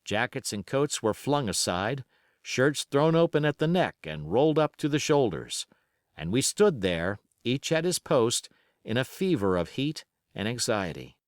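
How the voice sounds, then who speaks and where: Male narrator for literary audiobook, medium pitch, expressive storytelling, very dry recording. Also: measured pace, dry recording.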